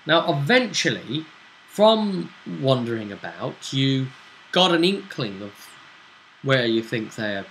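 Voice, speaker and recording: A man recapping a tabletop role-play session as game master, casually, in a halting, playful way. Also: mid-range voice, very animated, fairly bright tone, slight background noise, poor audio.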